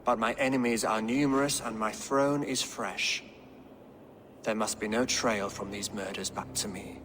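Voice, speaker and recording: Medieval English king, low voice, pious, calculating, formal, game drama, noisy ambience. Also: steady tone, fairly bright tone. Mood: grave, calculating. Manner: formal, deliberate, solemn. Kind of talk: dramatic video game acting.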